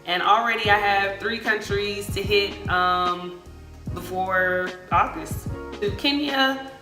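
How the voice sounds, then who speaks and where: A woman's voice: medium pitch, slow. An American woman in her late twenties chatting casually and expressively to viewers as a travel vlogger, in colloquial language. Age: late 20s. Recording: echoey room, music underneath.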